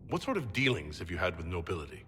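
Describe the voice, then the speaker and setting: A man's voice: sits low, very animated, fast, bright, forceful tone, long reverb tail, a little hiss or hum, full character voice. A man playing an earnest, polite heroic nobleman in a fantasy game, speaking directly and courteously. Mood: polite, curious. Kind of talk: dramatic game cutscene acting.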